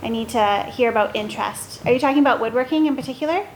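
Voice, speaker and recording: A woman with a fairly high voice addresses a school assembly, explaining course options as a staff member. There is faint noise behind her. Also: fast, low-quality recording.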